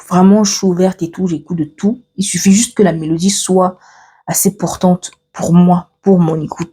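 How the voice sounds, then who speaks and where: A young woman from Cameroon talks casually to her viewers, stopping and restarting as she glances at her notes. Her voice sits low and mellow, with a soft husky edge. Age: 20s. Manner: chatty, many fillers and restarts, reads from notes. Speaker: vlogger. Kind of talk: casual get-to-know-me chat.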